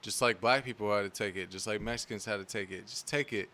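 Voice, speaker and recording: A man's voice: fairly low pitch, fast, sharp tone. A young man in casual podcast chat, blunt and laid-back, swearing freely and often dropping to a mutter. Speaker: podcast guest.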